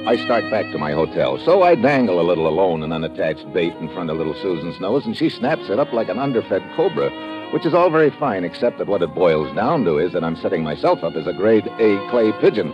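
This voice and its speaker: An actor playing a wry, slangy investigator in an old-time radio detective drama. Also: male voice, sits low, very animated, brisk. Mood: wry, tense. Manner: laconic, wisecracking, slangy. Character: wry hard-boiled American investigator, adult man. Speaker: lead actor and narrator. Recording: muffled old radio recording, music behind.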